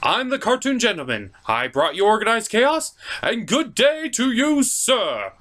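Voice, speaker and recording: A man with a fairly high voice delivers a scripted comedic review. His pitch moves around a lot, with theatrical emphasis and a mock-incredulous edge. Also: sing-song, unhurried, fairly bright tone.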